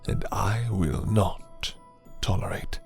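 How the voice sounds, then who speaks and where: A man voicing a suave, menacing, condescending vampire lord in an ASMR roleplay, courtly and theatrical, with deliberate delivery. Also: deep voice, animated, gravelly, darkish tone, heavily acted. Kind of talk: ASMR roleplay character acting. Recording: music behind the voice.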